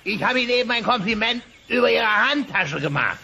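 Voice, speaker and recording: Blustering dinosaur boss, comedy audio play. High, swooping voice, condescending, spluttering; noisy tape. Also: male voice, lively intonation, fairly quick, vocal fry, bright, forceful tone, full character voice. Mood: flustered. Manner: spluttering, stammering, exclamatory. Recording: noisy old cassette transfer.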